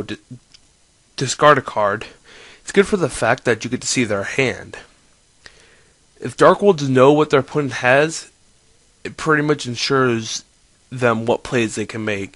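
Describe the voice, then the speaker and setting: A man's voice: medium pitch, fairly bright tone, no room sound. Stuffed-up young man explaining cards. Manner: rambling, self-correcting. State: stuffy nose from a cold. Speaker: card game player. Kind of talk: casual deck explanation.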